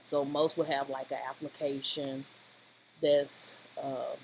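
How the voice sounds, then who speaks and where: Halting tutorial presenter over noisy audio. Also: mid-range voice, little pitch movement. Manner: rambling, halting, often checking with "okay?". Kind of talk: informal screen-recorded software tutorial, talking through steps. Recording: clearly noisy home-style voice-over recording.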